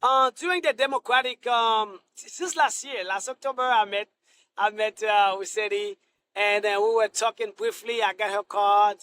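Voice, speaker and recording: A middle-aged man from Haiti, a Senate candidate answering interview questions in a halting way, full of restarts and fillers. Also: sits high, fairly even intonation, sharp tone, slight background noise.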